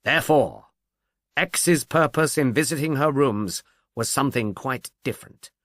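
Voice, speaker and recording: A man voicing a brisk, impatiently clever amateur detective in an audiobook, lecturing emphatically. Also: mid-range voice, fairly bright tone, no echo, clean studio sound, lightly acted.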